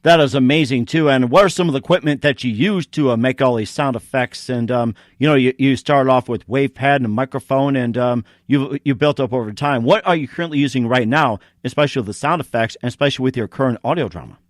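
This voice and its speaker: Male interviewer, mid-pitched, very dry sound. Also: fast talker, hardly any pauses, no echo. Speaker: talk show host, interviewer. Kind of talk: casual interview chat. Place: small padded home recording space.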